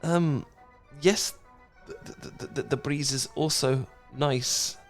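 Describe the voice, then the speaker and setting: A man's voice: a little high, steady tone, soft background music. Man acting a stammering, flustered British dork. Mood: sheepish, nervous. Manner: stammering, flustered, halting, apologetic. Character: awkward, anxious, smitten British male dork. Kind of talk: ASMR romantic roleplay acting.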